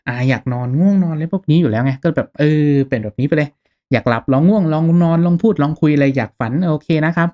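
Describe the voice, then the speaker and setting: Casting: male tutorial host, medium pitch, casual and courteous step-by-step explainer for a gaming channel. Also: darkish tone. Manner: informal, polite, explanatory, checking in with listeners.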